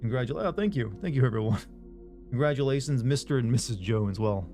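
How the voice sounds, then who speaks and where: A man's voice: mid-range voice, lively intonation, fast, mellow, faint music behind. A man streaming a game, chatting casually and reading out viewer messages, rambling and making self-deprecating jokes.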